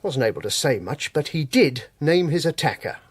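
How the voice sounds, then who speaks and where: Lively-pitched, husky police inspector. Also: male voice, no room sound. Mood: grave, probing. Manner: deliberate, dry, colloquial. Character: measured, blunt, knowing male police detective inspector. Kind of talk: radio drama acting.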